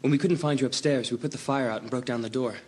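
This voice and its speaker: A man's voice: medium pitch, fairly even intonation, fast talker, a little mellow, husky, lightly acted. An actor playing a protective, level-headed young man in a horror audiobook drama, direct and insistent. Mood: explaining. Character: urgent, protective, level-headed teenage boy.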